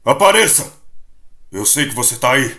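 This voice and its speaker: A man playing a brooding, fierce hedgehog agent with a gruff, husky voice and a curt, stern, combative manner in a fan dub. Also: medium pitch, sing-song, moderate pace, bright, forceful tone. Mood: commanding.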